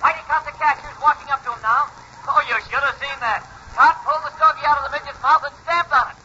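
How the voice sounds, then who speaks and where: An actor playing an excited baseball play-by-play announcer in a 1940s radio drama, breathless and punchy. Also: male voice, very high-pitched, quick pace, full character voice. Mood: urgent. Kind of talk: 1940s sports commentary acting. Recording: muffled, hissy vintage radio broadcast.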